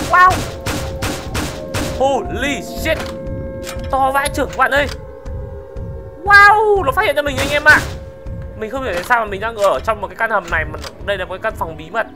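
An excitable gaming video host giving exclamation-filled gameplay commentary. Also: medium pitch. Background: music.

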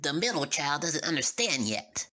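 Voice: medium pitch, very animated, normal speed, bright, forceful tone, voice pushed up. A performer voicing a grave, ominous male ink demon with a caring streak, in a cartoon comic dub.

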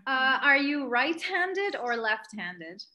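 A woman's voice: high-pitched, big pitch swings, slow, pushed and bright. A woman in her thirties hosting a casual interview over a video call, encouraging as she asks questions. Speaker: host, interviewer. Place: indoor room on a video call.

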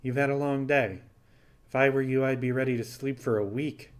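A man reads as a kindly animal captain of a space pirate crew in an audiobook. The delivery is measured and frank, persuasive yet reassuring, at a medium pitch. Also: steady tone, clear, resonant tone, natural voice. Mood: understanding, reassuring.

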